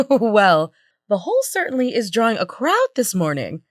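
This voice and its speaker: A woman playing a lively morning news anchor in an audio drama parody broadcast. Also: mid-range voice, normal speed, no echo. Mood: bright, newsy.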